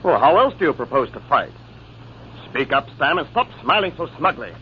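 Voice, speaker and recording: Exasperated commanding officer, animated 1940s radio delivery, dull sound with faint noise. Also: male voice, a little high, moderate pace. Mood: stern, resigned. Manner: authoritative, impatient. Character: brisk, exasperated army major arbitrating a quarrel. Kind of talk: 1940s radio drama acting. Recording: muffled, noisy old radio broadcast.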